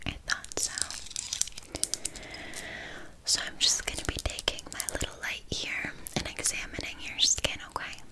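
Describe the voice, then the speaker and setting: A woman voicing a blunt, vain, chatty town witch in a whispered ASMR roleplay, casually condescending. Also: natural voice. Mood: sympathetic, businesslike.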